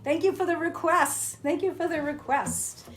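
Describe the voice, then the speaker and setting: A woman's voice: very high-pitched, moderate pace. A woman singer-songwriter chatting casually during a live song share from home. Her voice is dry and throaty, and she talks with lots of ums and restarts, thanking her listeners. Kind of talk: casual livestream chat between songs. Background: her own acoustic guitar.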